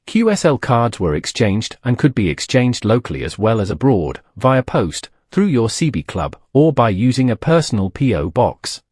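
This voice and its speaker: Husky male documentary narrator, lively intonation. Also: medium pitch, moderate pace, hardly any pauses, dry recording, clean studio sound. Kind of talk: scripted narration.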